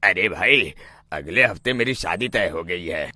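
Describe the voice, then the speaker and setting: A man's voice: low voice, animated, measured pace, bright, forceful tone, dry recording, heavily acted. A man voicing a nervous, exclamatory young village bridegroom in a cartoon story. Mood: anxious pleading. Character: anxious young village bridegroom, cowardly but stubborn.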